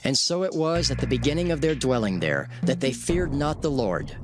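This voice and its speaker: Male narrator for dramatized scripture: solemn, lively inflection, mid-range pitch, loud music bed. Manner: solemn, measured, emphatic storytelling.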